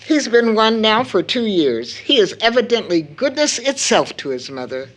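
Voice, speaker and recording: An actress playing a fond, tired, gently chiding mother in an audio drama, with a measured way of talking. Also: fairly high pitch, sing-song. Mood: confiding. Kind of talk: stage-play audio drama acting.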